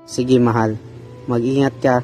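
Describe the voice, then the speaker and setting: A man's voice: medium pitch, level tone, a little slow, darkish tone, soft background music, lightly acted. A man playing a stubborn young husband in an amateur radio drama. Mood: agreeable. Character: stubborn young husband eager for many children, later frightened.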